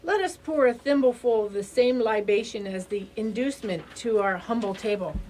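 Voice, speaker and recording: A woman's voice: fairly high pitch, moderate pace, a little mellow, natural voice, poor audio. A woman acting in a radio play as a gracious, refined ambassador with formal, florid phrasing. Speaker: actor in a community radio play.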